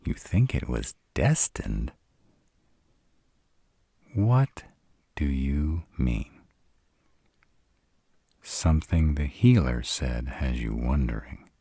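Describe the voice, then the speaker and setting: Sardonic male vampire , played by a man for roleplay audio: husky, slow-paced, dramatic and grumpy, recorded close and dry. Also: rumbling low, sing-song, mellow, no room sound, full character voice. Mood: suspicious. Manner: sarcastic, grumbling, dramatic. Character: sarcastic irritable male vampire, stranded in a human's home.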